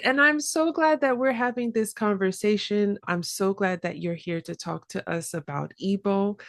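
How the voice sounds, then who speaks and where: A woman hosting an interview podcast about languages, upbeat and quick to laugh. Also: mid-range voice, fairly bright tone, dry recording, studio quality. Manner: upbeat, laughs often, enthusiastic. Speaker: podcast host.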